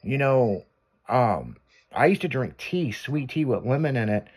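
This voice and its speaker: A man's voice: medium pitch, expressive, a little slow, slightly rough. A male radio host talking loosely on air, his sentences wandering and trailing off into "so yeah." He swears freely and rambles in an everyday middle voice, laid-back and unscripted. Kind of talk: casual on-air chat.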